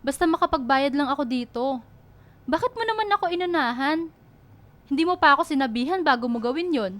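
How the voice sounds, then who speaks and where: High-voiced young girlfriend, acted by a woman. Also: animated, fairly quick, a bit bright. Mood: hurt, reproachful. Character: young student girlfriend, proud, caring, easily upset, affectionate. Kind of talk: radio romance drama acting.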